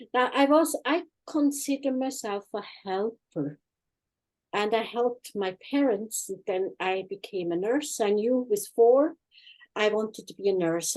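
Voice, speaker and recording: An older woman with a Swiss accent, telling her life story in a halting, plain-spoken way as an interview guest online. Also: mid-range voice, darkish tone, poor audio. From Switzerland. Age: 60s or older. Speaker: guest, healing practitioner and former nurse. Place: online video chat.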